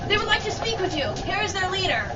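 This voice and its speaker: A woman's voice: extremely high pitch, big pitch swings, quick pace. A woman playing an earnest, dutiful airship lookout in an amateur old-time radio comedy, reporting formally. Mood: dutiful, alert. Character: earnest young female airship lookout in crow's nest. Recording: muffled, very noisy, distant room mic.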